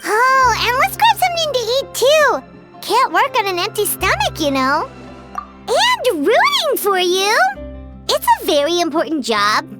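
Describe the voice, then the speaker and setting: A woman's voice: huge pitch swings, a little slow, exaggerated voice. A woman voicing a tiny, eager, always hungry fairy companion in a video game, with a bubbly childlike delivery. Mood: cheerful. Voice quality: squeaky, childlike. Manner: bubbly, refers to herself in third person. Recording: music playing behind the voice.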